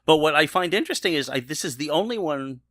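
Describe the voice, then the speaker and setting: Guy chatting on a podcast, really lively pitch, bright dry sound, kinda hedges as he goes. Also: fast, dry recording. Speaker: podcast co-host. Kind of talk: casual discussion.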